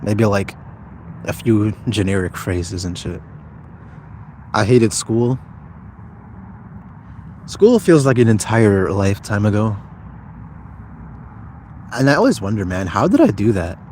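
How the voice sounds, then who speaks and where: Low-voiced young man chatting over loud noise. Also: big pitch swings. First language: Somali speaker, as second language. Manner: informal slangy, halting, thinks aloud. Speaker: YouTuber live-streaming. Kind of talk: casual livestream chat answering viewer comments.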